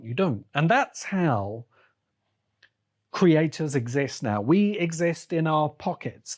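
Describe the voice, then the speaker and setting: Male podcast host, slightly high voice, emphatic and deliberate, conversational solo commentary. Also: a little mellow.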